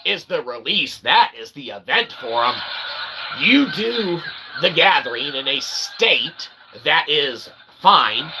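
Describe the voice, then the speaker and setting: A man hosting his own channel, rambling through an opinion monologue with lots of "okay?" and sudden loud outbursts. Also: on the high side, background noise. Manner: rambling, repeats "okay?" and fillers, bursts of loud excitement. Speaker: channel host.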